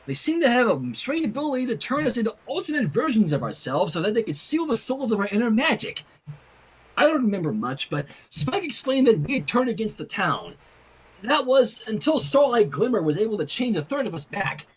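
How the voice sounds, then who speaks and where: Man as a high-voiced, bookish young woman. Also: very animated, fairly quick, breathy edge, full character voice, low-quality recording. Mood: earnest. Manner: wordy, polite, earnest. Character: bookish, chatty, earnest young woman reading her letter.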